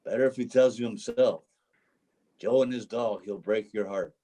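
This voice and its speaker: A man's voice: lowish voice, steady tone, normal speed, dark tone, natural voice. A man acting a wistful, folksy homeless drifter. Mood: rueful. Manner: folksy, dramatic storytelling. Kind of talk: staged play reading. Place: remote video call reading.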